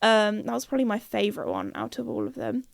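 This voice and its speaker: Young woman hosting her podcast, rambling and chatty, a little croaky from a cold, with a higher-than-average voice. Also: steady tone, fast talker, no room sound, studio quality. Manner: rambling, jumps between topics, many fillers. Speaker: podcast host. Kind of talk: casual solo podcast chat.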